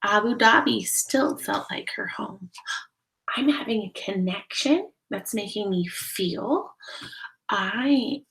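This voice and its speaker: Female read-aloud teacher: slow, creaky delivery, explains carefully for kids. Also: medium pitch, lively intonation, fairly bright tone, low-quality recording. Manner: deliberate, explanatory, addressing children. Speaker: teacher reading a picture book aloud to children. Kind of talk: read-aloud storytelling with questions to young listeners.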